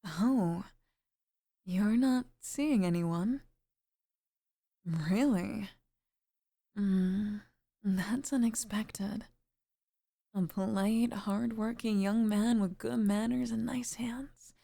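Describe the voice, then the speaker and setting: A woman playing a sweet, flirtatious middle-aged single mother in an intimate ASMR roleplay, speaking softly and breathily, often near a whisper. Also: medium pitch, extremely slow, gravelly, long pauses, full character voice. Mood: tender, curious.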